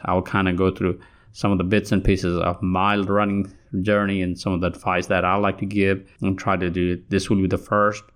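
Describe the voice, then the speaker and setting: Host, male, 50s, Nepali accent with a Southern US lilt, low voice, halting solo podcast delivery. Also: smooth. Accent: Nepali with a Southern US tinge. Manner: halting, many restarts and fillers.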